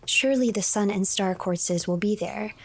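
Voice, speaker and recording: A woman narrating a game's story in a measured, scripted storytelling manner. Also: mid-range voice, vocal fry, a little hiss or hum. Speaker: streamer narrator. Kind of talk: scripted storybook-like narration of a game, third person.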